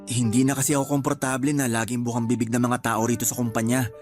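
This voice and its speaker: A man's voice: mid-range voice, little pitch movement, fast talker, over music, close to an everyday voice. An actor playing an earnest young male employee and loyal boyfriend in a Filipino radio drama, polite but firm. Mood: firm, aggrieved.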